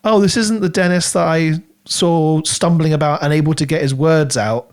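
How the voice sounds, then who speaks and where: A man co-hosting a podcast, talking through a book casually in an animated, rambling way as he thinks aloud. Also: fairly high pitch, moderate pace. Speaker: podcast co-host.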